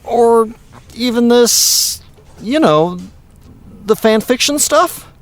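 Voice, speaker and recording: A man's voice: very high voice, lively intonation, slow pace, full character voice. A man playing a chatty, doting dad. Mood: encouraging. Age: middle-aged. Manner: chatty, upbeat, soothing. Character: doting talkative middle-aged dad, a lawyer. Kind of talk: audio drama acting.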